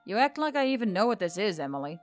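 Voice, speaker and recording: A jaded male boss of treasure hunters , as a woman reads him, talks with dry derision. He is gruff and deadpan, worn out by other people's trouble. It is a fantasy audiobook with music underneath. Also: mid-range voice, quick pace, lightly acted. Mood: derisive.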